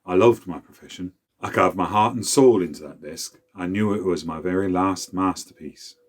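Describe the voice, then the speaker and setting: A man voicing the spirit of an old male wood elf, a craftsman father, speaking deep and grave. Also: moderate pace, slightly rough. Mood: solemn, tender.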